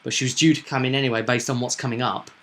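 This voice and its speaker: A man's voice: mid-range voice, fairly even intonation, rapid-fire, a bit bright. A man running a tabletop roleplaying game, explaining things in a reflective, conversational way. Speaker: game master.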